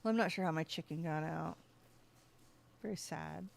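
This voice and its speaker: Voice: mid-range voice, normal speed, vocal fry, a little hiss or hum. A gaming streamer giving casual gameplay commentary, often talking to the game's animals and to themselves. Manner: talks to game animals and self.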